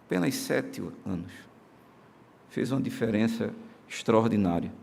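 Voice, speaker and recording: A male pastor with a Brazilian accent preaching a sermon in a church, earnest, moving between emphatic declarations and soft, slow solemn passages. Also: on the low side, lively intonation, gravelly, a little hiss or hum. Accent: Brazilian Portuguese. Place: church sanctuary during a live-streamed service.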